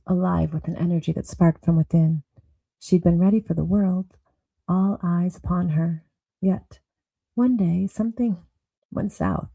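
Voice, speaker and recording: A woman narrating a noir audio drama with brooding, deliberate storytelling. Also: fairly low pitch, gravelly, dark tone, slightly rough, no room sound, rough recording.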